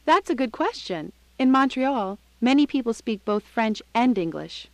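A woman's voice: fairly high pitch, lively intonation, normal speed, no room sound. A woman playing a friendly Canadian radio guest in a scripted lesson dialogue. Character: friendly bilingual Canadian woman answering a caller.

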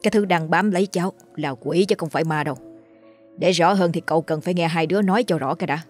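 A woman voicing a grave older uncle versed in exorcism, who speaks in a low, hushed and brisk, solemn way. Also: soft background music, lightly acted.

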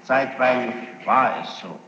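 A man questioning a witness as a judge in formal courtroom style, insistent and deliberate, repeating answers back. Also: mid-range voice. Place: large echoey courtroom, archive tape. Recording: muffled old tape, echoey hall, hiss.

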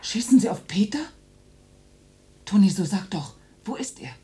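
A woman's voice: mid-range voice, expressive, normal speed, mellow, a little hiss or hum, natural voice. An actress playing an anxious, protective middle-aged mother of two boys in a radio drama, worried and pleading. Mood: frightened whisper.

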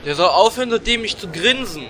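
A man's voice: high-pitched, animated, quick pace, very noisy. A man playing a fearless, scheming, grumbling gnome warlock in a German fantasy parody audio drama, theatrical and irritable. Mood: grumpy.

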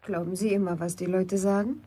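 A young woman playing a pert, impulsive city girl in a German radio drama, frank and questioning, with a husky voice. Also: medium pitch, steady tone, a little fast, mellow, natural voice. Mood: teasing, wistful.

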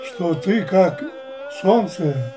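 A man speaking in heartfelt short phrases, pausing between them for an interpreter. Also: high voice, expressive, over music. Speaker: interviewee, local acquaintance. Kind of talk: heartfelt conversational praise.

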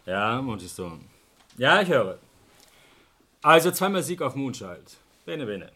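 Husky, wily bookmaker. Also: male voice, mid-range voice, big pitch swings, measured pace, pushed and bright, heavily acted. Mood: jovial, businesslike. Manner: chuckling, glib, informal. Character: wily, jovial male bookmaker, smooth-talking and unapologetic. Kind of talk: German radio crime drama acting.

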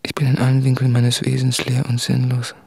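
Tormented writer, radio play monologue, gravelly fry, brooding, intimate, hushed confessions. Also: male voice, mid-range voice, monotone, brisk, no echo, studio quality. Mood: bleak. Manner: brooding, intimate, often sinking into whispers. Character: anguished, self-tormenting male writer confessing in letters. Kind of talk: literary radio drama monologue.

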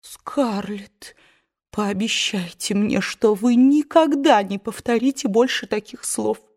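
A woman voices a timid old aunt who frets and scolds in a hushed, slowed voice. She sounds weepy and easily shocked, as in a read-aloud novel. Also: fairly high pitch, voice pushed up. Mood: pleading. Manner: hushed, fretful, slow.

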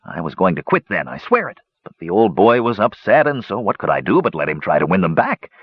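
A man's voice: low voice, sing-song, fairly quick, husky, lo-fi sound, full character voice. A man voicing a sheepish, wheedling dwarf gambler in a fantasy audiobook, confessing with hedges and sighs. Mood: sheepish, wistful. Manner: hesitant, hedging, sighing confessions with folksy turns.

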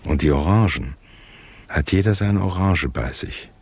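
A solemn religion teacher who dotes on his little pupils. His voice is very low and unhurried, with a soft huskiness. He speaks with pious, fatherly tenderness. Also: breathy edge, full character voice. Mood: tender, grave. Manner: slow, tender, pious, doting. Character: solemn fatherly male religion teacher soothing small children. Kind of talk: German radio play acting.

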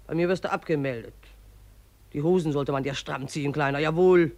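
An actress in a radio play voicing the river itself as a gruff, mocking old woman who scolds in an earthy, derisive way. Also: low voice, brisk, a little mellow, no room sound. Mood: stern, scolding. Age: older.

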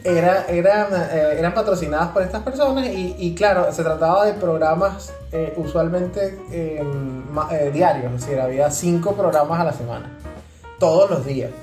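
A man's voice: a little high, moderate pace, a little mellow. A man co-hosting a podcast in a casual, humorous, explanatory chat. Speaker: podcast co-host. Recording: roomy echo, music behind voice. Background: music.

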